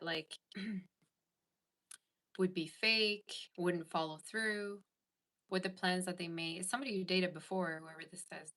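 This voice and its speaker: A woman's voice: on the low side, a bit bright. A woman giving a casual tarot reading to her viewers, chatting and answering comments as she goes. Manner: chatty, reads live chat aloud. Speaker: tarot reader.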